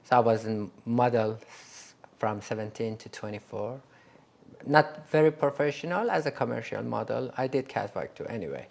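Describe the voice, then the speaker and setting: A man's voice: medium pitch, animated, moderate pace, gravelly. A man from Iran who grew up partly in Dubai, a friendly interview guest with loose phrasing. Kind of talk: casual conversation.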